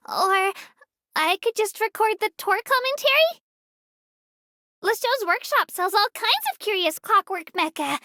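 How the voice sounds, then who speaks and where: Tiny girly creature tour guide from a game, super high n bright, earnest, polite, bit hesitant, close dry sound. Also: dry recording, full character voice. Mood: musing. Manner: polite, earnest, slightly hesitant, self-effacing. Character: small, earnest, eager Melusine boat tour guide, girlish creature. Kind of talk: scripted game tour commentary.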